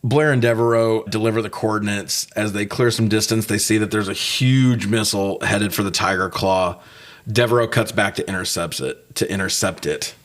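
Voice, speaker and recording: A man's voice: lowish voice, steady tone, normal speed. A man co-hosting a casual, comedic movie review, talking with sarcastic, profane joking. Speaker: podcast co-host reviewing movies.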